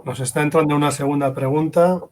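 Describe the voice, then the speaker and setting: A man moderates an online conference session, passing on questions and giving formal thanks. His voice sits a bit higher than most men's. He sounds like he is on a video call. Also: fairly even intonation, fast talker, darkish tone, slight background noise.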